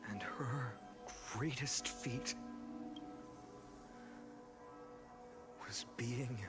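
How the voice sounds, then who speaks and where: An actor playing a solemn young hero who addresses a mourning city in a measured, declamatory game oration. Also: male voice, mid-range voice, over music, natural voice. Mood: mournful. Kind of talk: dramatic game voice acting, public oration.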